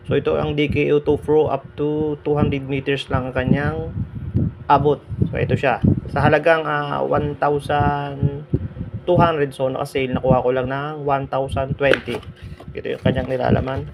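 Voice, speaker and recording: Male moto vlogger, mid-pitched, casual halting review, noisy background. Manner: relaxed, halting, many fillers. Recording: clear background noise.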